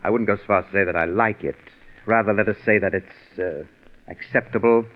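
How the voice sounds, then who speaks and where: An actor playing a pompous, coldly sarcastic, tyrannical radio director in 1940s radio drama style, with a haughty, deliberate, condescending manner. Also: male voice, lowish voice, lightly acted. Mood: cool disdain. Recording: muffled, phone-thin 1940s radio recording.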